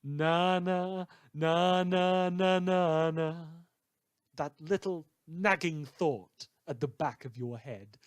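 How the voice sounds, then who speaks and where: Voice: mid-range voice, slow pace, clean tone, no echo, natural voice. A performer playing a chatty, wry radio news host in a superhero world, theatrical and teasing, rambling and correcting themself in a fan audio drama. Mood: teasing, ominous.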